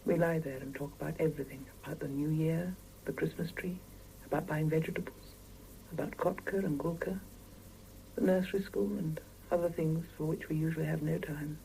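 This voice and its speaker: A woman's voice: sits low, steady tone, fairly quick, gravelly, mellow, rough recording. A worn-out Soviet working wife and mother in a 1970s radio play. She is intimate and reflective as she tells of her week, exasperated with her family. The old mono cassette recording has audible hiss. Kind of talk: 1970s radio drama acting and first-person narration. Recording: hissy 1975 mono radio cassette copy.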